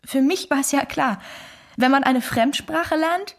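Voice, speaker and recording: A woman's voice: high-pitched, measured pace. An actress playing an earnest, ambitious Chinese wife recounting her marriage plainly in a documentary radio play. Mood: earnest, reflective.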